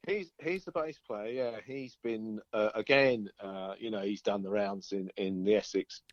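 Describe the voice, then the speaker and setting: A man chatting informally as an interviewed musician, halting and circling back over his phrases. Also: lowish voice, no room sound, lo-fi sound. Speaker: band singer-guitarist being interviewed. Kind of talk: casual interview chat.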